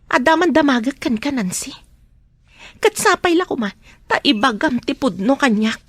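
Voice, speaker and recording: A woman's voice: sits high, lively intonation, moderate pace, fairly bright tone, no echo. A woman acting a sorrowful, betrayed wife in a radio drama, plaintive and hesitant, with an Ilocano accent. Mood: wary, questioning. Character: Roxanne, sorrowful betrayed wife, meek and pleading.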